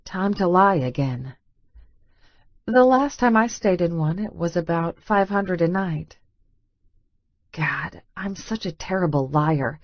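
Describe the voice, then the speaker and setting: Low-pitched woman narrating, dry close sound. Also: animated, takes it slowly, long pauses, dry recording, dull audio. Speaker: audiobook narrator. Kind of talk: scripted first-person romance narration.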